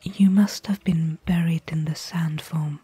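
A woman playing a caring, worried fantasy expedition companion in a soft, breathy, intimate ASMR whisper. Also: deep voice, steady tone, no room sound, heavily acted. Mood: hushed recounting. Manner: intimate, gentle, slow and hushed. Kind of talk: soft-spoken ASMR roleplay.

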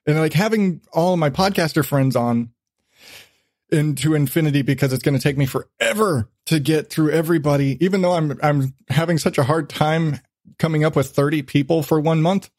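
A man's voice: a little high, normal speed, darkish tone, dry recording, clean studio sound. A man hosting a casual podcast chat, rambling along and swearing freely. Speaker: podcast host.

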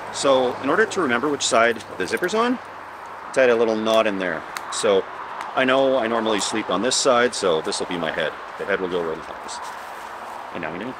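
A man in his forties vlogging casually outdoors in a damp forest, chatty and self-deprecating as he thinks aloud. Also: mid-range voice. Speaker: camping vlogger. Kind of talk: casual outdoor vlog narration. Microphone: camera mic, handheld close and filmed from a distance. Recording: outdoor recording with clear background noise.

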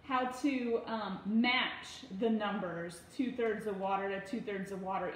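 A woman in her thirties speaks in a fairly high voice. She gives casual, step-by-step instructions, patient but firm. The room around her is very echoey, with faint noise behind her, as if recorded on a phone in a home kitchen. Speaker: parent giving a cooking demonstration. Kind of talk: casual instructional talk to camera and child. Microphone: phone propped on counter, about arm's length.

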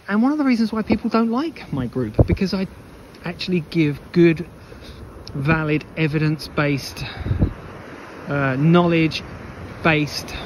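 Higher-pitched man, emphatic, in noisy wind. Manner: emphatic, repeats phrases, asks rhetorical questions. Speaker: running coach and vlogger. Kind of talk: opinionated off-the-cuff advice monologue to camera. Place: outdoors on a beach walk. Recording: windy, noisy outdoor mic, rumbling background. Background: wind on the microphone, ambient noise.

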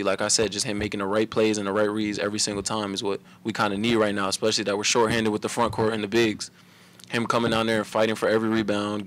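Quick-talking young man at a press table. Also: on the low side. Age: young adult. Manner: relaxed, answers in long run-on stretches. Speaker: college basketball player. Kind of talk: post-game press conference. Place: press room at a table before a logo backdrop. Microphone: table mic.

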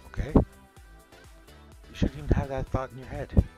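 A man's voice: medium pitch, level tone, unhurried, music in the background. A man improvising in-character roleplay conversation in a reassuring manner. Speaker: roleplayer in a virtual-world game.

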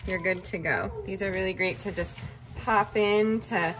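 A woman chatting casually as she walks through her meal prep in a home kitchen, relaxed and full of fillers. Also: medium pitch, normal speed, music in the background. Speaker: vlogger cooking meal prep. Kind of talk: casual cooking narration.

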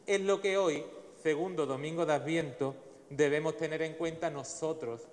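A man in his forties, a priest, reading the Gospel and preaching at Mass in a measured, solemn way into a lectern mic. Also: fairly high pitch, a little hiss or hum. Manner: measured, solemn liturgical delivery. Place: large church in front of the altar. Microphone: stand mic at the lectern. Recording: long church reverb.

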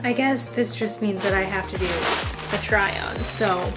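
A woman's voice: mid-range voice, moderate pace. Young woman vlogging over background music. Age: 20s. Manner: informal, enthusiastic, slangy. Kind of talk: casual vlog chat to camera. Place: indoors at home, kitchen, bedroom and living room.

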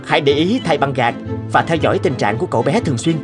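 Man voicing an earnest, lively cartoon doctor. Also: medium pitch, quick pace, close to an everyday voice. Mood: firm, instructing. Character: earnest young male doctor and researcher, curious, thoughtful. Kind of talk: cartoon dubbing, educational storytelling. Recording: music bed under dubbed dialogue. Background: background music.

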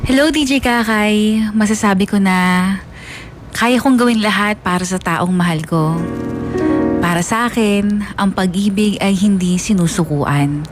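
A woman's voice: mid-range voice, a little slow, pauses often, natural voice. A woman playing a lovestruck narrator who recounts her school crush earnestly and wistfully, as a radio drama confession. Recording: music under the voice.

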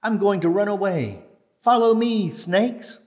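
Storybook snake girl read by a man: frightened, hissing, high, slightly dull sound. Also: expressive, measured pace, darkish tone. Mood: scared, urgent. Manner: hissing, pleading. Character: scared little girl playing a slithering snake.

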